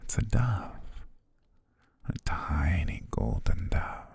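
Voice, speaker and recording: Man doing a low, gravelly whispering butler. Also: big pitch swings, slow pace, full character voice. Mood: soothing whisper. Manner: soft, coaxing, doting, lulling. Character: devoted, quietly possessive male butler. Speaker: ASMR voice actor. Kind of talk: ASMR roleplay. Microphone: close mic.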